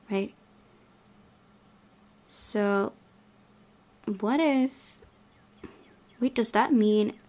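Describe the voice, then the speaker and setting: A woman hosting a casual game playthrough, reading and commenting, thinking aloud in a halting way. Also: medium pitch, gravelly, darkish tone. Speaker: gaming video host.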